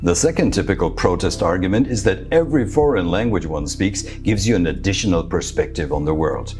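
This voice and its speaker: Male presenter, native Serbo-Croatian speaker, very low voice, measured scripted delivery, faint music under it. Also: animated. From Serbia. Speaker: futurist presenter. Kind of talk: scripted talk to camera.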